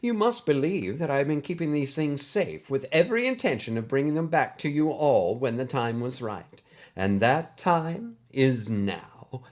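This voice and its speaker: Storybook retired schoolmistress, female played by a man: raised pitch, formal, exact, kind but gently scolding. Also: very animated, a little slow. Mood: warm, reassuring. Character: kindly but exacting older woman, former schoolteacher.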